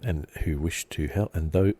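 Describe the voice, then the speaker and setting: A soft-spoken man giving relaxed, rambling ASMR commentary as he plays a video game, thinking aloud. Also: moderate pace. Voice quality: soft-spoken, low-key.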